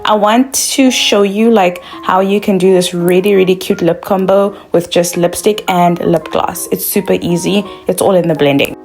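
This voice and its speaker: A woman's voice: on the low side, fairly even intonation, normal speed, hardly any pauses, a little mellow, faint music behind. A young woman from South Africa, a makeup vlogger talking through a tutorial in a bubbly, chatty way, full of "like" fillers.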